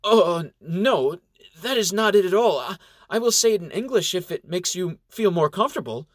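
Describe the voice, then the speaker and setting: A man's voice: high-pitched, lively intonation, normal speed, dry recording, studio quality, pitched above the performer's own voice. A man reading a storybook voices a worried young female fire elf who speaks broken English with a foreign lilt. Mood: earnest, anxious.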